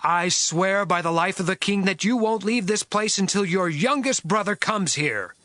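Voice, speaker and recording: A man's voice: high voice, animated, normal speed, pushed and bright, no room sound. A man playing a stern, suspicious governor of ancient Egypt in an audio Bible drama, speaking harshly and with authority. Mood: harsh, accusing. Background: music.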